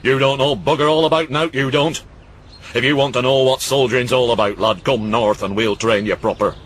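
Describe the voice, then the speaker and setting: A man's voice: mid-range voice, steady tone, fairly quick, slight background noise, pitched above the performer's own voice, lightly acted. A man voicing a cantankerous old uncle who mocks and rants. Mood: ranting. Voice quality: gruff, rambling, scornful. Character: cantankerous old northern uncle, sharp-tongued and mocking.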